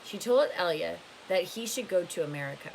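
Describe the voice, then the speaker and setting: A woman's voice: fairly low pitch, animated, a little fast, gravelly, low-quality recording. A woman narrating a book aloud in a storytelling style. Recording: faint hiss behind voice.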